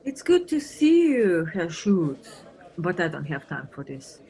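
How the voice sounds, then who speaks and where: A woman's voice: on the low side, very animated, measured pace, warm tone, rough recording. A woman acting in an audio play as an irritable, weary Flemish police officer, dismissive and sardonic. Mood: exasperated, scornful. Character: gruff, irritable female police officer from Flanders, weary, scornful of tourists.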